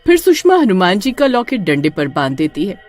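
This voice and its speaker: A woman narrating a horror story in an expressive storytelling manner. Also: medium pitch, normal speed, soft background music.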